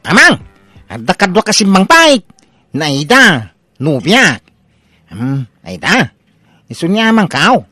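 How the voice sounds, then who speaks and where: A man's voice: high voice, huge pitch swings, slow, pauses often, bright, forceful tone, faint music behind, full character voice. A man with an Ilocano Filipino accent playing a reluctant, girl-chasing grown son in a comedy radio drama. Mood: casual, breezy.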